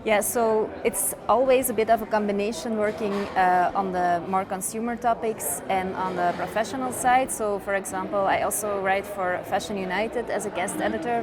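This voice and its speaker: A woman whose first language is Dutch, answering interview questions as a sustainability consultant in an earnest, upbeat, step-by-step way. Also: medium pitch. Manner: earnest, positive, explains step by step. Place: trade fair. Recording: busy crowd noise behind.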